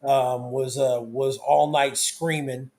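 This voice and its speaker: A hoarse young man hosting a casual football talk show, talking loosely with lots of restarts. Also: medium pitch, little pitch movement, slow pace, darkish tone. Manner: loose, conversational, with many restarts. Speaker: sports talk show host.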